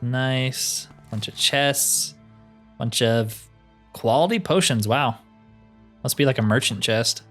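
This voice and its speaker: A man's voice: mid-range voice, a bit bright. Casual wry man over game music. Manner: wry, thinking aloud. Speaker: gaming video host. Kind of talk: casual solo video game playthrough commentary.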